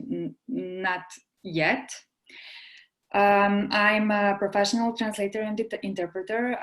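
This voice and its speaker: A woman from Serbia with a Serbian accent, a translator and interpreter introducing herself to a class, pausing often with filled pauses. Serbian is her first language. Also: lowish voice. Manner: hesitant, many filled pauses. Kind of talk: self-introduction in an online class.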